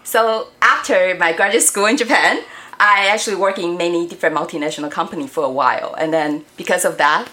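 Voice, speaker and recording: Soft low-voiced Taiwanese-born woman. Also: brisk. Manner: friendly, hesitant, many fillers. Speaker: guest, robotics education director. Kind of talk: casual interview chat.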